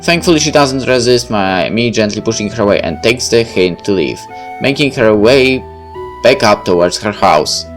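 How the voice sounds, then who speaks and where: A man's voice: lowish voice, lively intonation, moderate pace, faint music behind. A man reading a story game aloud and commenting on it as he plays. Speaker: gamer reading a visual novel aloud and commenting. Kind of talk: dramatic read-aloud of first-person fiction with casual asides.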